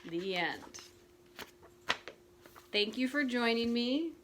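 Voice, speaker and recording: A woman teacher reading a picture book aloud to young children, gentle and clear. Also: on the high side, animated, noisy background. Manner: gentle, slow, clearly enunciated. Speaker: preschool teacher.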